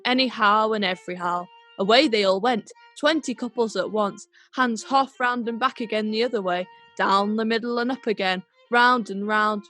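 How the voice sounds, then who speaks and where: A woman's voice: fairly high pitch, lightly acted. A woman narrating a classic tale as a scripted radio play, in storybook style. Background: music.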